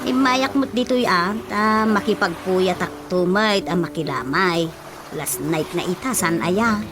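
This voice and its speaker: An actress playing an excitable, gossipy neighbour woman in an Ilocano radio drama, full of exclamations. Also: lowish voice, measured pace, noisy background, close to an everyday voice. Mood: chatty concern. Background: prominent background music.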